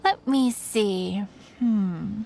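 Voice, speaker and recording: A woman's voice: mid-range voice, drawn-out words, vocal fry. An actress voicing a friendly, chatty young woman who makes lanterns and explains things helpfully in a video game, with music under her. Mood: pleased, encouraging.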